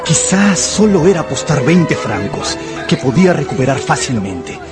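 Anxious gambler, animated dramatic narration. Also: male voice, on the high side, over loud music. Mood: brooding, tempted. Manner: brooding, intense, with dramatic pauses. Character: anxious gambler recounting his own ruin. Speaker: lead actor and narrator. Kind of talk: first-person dramatic narration in a radio novel.

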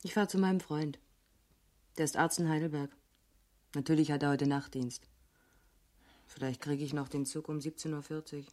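A woman's voice: deep voice, fairly even intonation, normal speed, long pauses, darkish tone, natural voice. An actress in a radio crime drama playing a cool, outspoken younger police detective, composed and pointed in how she speaks. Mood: cool, matter-of-fact.

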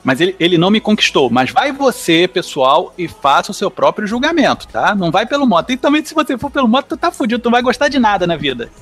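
A man's voice: high-pitched, sing-song, quick pace, hardly any pauses, fairly bright tone, breathy edge. A Brazilian man talking as a podcast panelist in a slangy, opinionated, joking way. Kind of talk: casual podcast chat.

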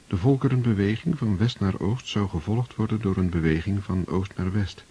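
A man narrating a radio play in a measured, solemn way. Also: deep voice, warm tone, slightly rough.

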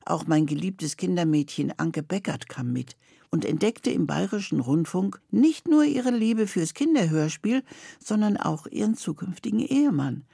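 An older woman presents a radio series with a scripted, personal introduction. Her voice is gravelly and dark, recorded dry with no room sound. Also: fairly low pitch, no room sound.

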